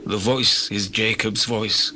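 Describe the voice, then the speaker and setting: An actor playing an aged, grave patriarch in a dramatized scripture reading, with formal, deliberate delivery. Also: male voice, lowish voice, fairly even intonation, sharp tone, exaggerated voice. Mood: doubtful, searching. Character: aged, dim-eyed patriarch, grave and questioning. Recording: faintly noisy old recording.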